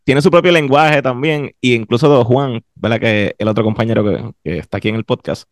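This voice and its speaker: A man's voice: mid-range voice, a little fast, no echo, studio quality. A man with a Puerto Rican accent hosting a podcast in an informal, conversational interviewing style. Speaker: podcast host.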